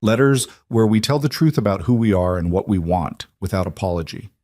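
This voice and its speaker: A frank, plainspoken frontier seamstress in her late thirties, read aloud by a man. Her letter is spoken in a low, steady, blunt voice. The recording is dry and close, with no room sound. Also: brisk, no echo, natural voice.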